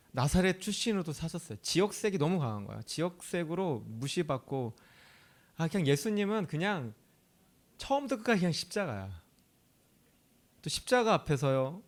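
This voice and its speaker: A man preaching a sermon in a chatty, animated way. His voice sits fairly high for a man and swings widely up and down. He keeps asking the audience questions and laughs easily. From Chungcheong, South Korea. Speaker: pastor.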